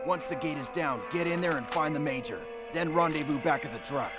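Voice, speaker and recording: An actor playing a brisk army captain in a war game, giving terse, commanding combat orders. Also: male voice, a little high, close to an everyday voice. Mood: focused, commanding. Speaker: military commander. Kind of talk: scripted game dialogue. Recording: dull audio under very loud music.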